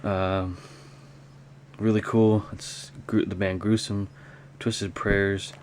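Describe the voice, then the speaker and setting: Deep voiced guy doing a casual collector vlog, kinda rambly and halting, noisy recording. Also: little pitch movement. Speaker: hobby vlogger, cassette collector. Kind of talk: casual unscripted show-and-tell vlog. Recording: clear background noise.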